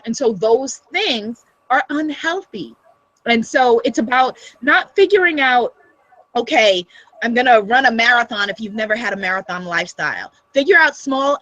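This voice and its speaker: A woman's voice: a little high, fairly bright tone, dry recording, rough recording. A woman with a Guyanese accent talking earnestly in a casual interview, full of restarts and "you know.". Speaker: guest.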